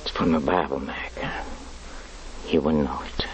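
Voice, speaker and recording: An actor playing a dying, remorseful criminal in an old radio drama, with a weak, fading voice. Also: male voice, rumbling low, unhurried. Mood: weak, solemn. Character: dying male criminal quoting scripture, remorseful. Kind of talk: 1940s radio acting. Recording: hissy muffled old radio recording.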